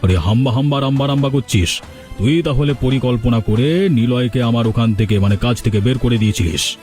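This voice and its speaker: Indignant village headman for cartoon, male actor, authoritative, emphatic, medium pitch, music bed. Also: brisk, darkish tone, natural voice. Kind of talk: cartoon voice acting in a village drama.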